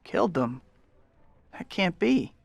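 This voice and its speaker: An earnest young hero. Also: male voice, high-pitched, big pitch swings, slow pace, gravelly, husky, no echo, heavily acted. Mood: anxious. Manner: earnest, polite, eager. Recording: video game cutscene with loud music.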